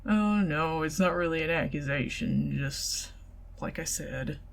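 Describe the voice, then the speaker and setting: A middle-aged woman with a Southern US accent, speaking softly and partly in whispers in an ASMR date roleplay. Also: low-pitched, measured pace, vocal fry. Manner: intimate, soft-spoken, giggly, partly whispered.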